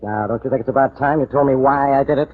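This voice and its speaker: An actor playing a gruff, put-upon police inspector in a 1940s radio drama. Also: male voice, mid-range voice, fast talker, slight background noise, close to an everyday voice. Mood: grumbling. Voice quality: gruff, exasperated. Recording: tinny old radio broadcast.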